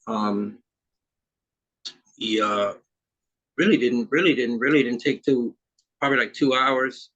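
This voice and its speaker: A man in his fifties who grew up in Trinidad chats as an interview guest, laid back and meandering, with lots of "you know" and "man". His voice sits at an ordinary pitch. The sound is dull and a bit lo-fi. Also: measured pace, pauses often, fairly bright tone, breathy edge. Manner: easygoing, rambling with many fillers. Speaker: musician guest. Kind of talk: casual interview chat.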